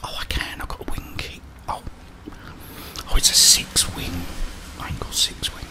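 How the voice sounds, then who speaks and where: A man whispers breathily, muttering to himself as he gives hushed ASMR commentary on a video game, close to the mic. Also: moderate pace. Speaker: ASMR gaming streamer.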